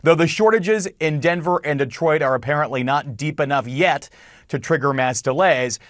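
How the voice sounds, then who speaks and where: A man's voice: a little high, moderate pace, dry recording, studio quality. An American man reporting as a television news correspondent in a broadcast style.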